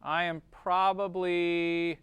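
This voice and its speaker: Clear-voiced male teacher, close dry sound. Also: high-pitched, flat delivery, slow pace, fairly bright tone, dry recording. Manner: informal, checks understanding with short tag questions. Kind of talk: classroom lesson, explaining step by step.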